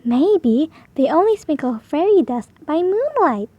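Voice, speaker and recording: A woman's voice: high-pitched, big pitch swings, unhurried, dry recording, lo-fi sound. A woman voicing an eager, dreamy, earnest little girl in a children's storybook. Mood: earnest, hopeful.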